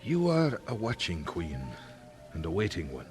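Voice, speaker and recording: A deferential nobleman advising royalty. His low voice moves expressively, with formal courtesy and a probing, insinuating manner. It is historical audio drama, with faint music underneath. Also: huge pitch swings, moderate pace, a little mellow. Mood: courtly probing.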